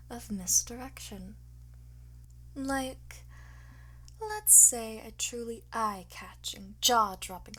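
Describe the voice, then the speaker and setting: A woman playing a flirtatious, boastful master thief in an ASMR roleplay, soft and often whispering, theatrical and smug. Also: mid-range voice, slight background noise, rough recording. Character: teasing, boastful female master thief under interrogation.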